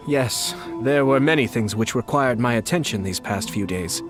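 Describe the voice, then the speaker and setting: Guy doing a courteous young lord, formal but lively pitch swings, music behind. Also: moderate pace, natural voice. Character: polite, composed young nobleman, head of a clan. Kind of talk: video game character dialogue.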